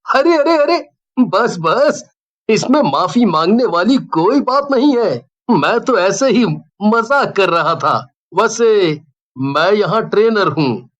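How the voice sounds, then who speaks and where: Woman voicing a slow-talking, confident young male trainer. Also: medium pitch, lively intonation, lo-fi sound. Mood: reassuring. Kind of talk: Hindi horror cartoon character voice.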